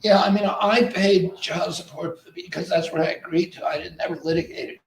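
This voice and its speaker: A man with a raspy voice, speaking hesitantly in a discussion with many stops and restarts. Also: sits high, fairly even intonation, moderate pace, low-quality recording. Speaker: interview guest. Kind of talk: conversational discussion.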